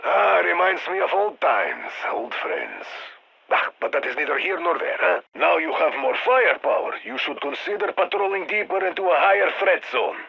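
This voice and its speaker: A man playing a gruff Russian squad commander in a video game, giving orders with dry, wry asides. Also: high voice, big pitch swings, normal speed, highs cut off, exaggerated voice. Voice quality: gravelly, husky. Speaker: mission commander giving radio briefings. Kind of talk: scripted video game voice lines. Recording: band-limited military radio sound.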